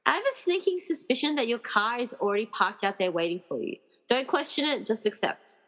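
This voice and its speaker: A woman's voice: a little high, big pitch swings, brisk, fairly bright tone. A woman playing an earnest, determined young heroine who questions things, in an amateur radio play. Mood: confident. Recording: reverberant, hall-like room sound.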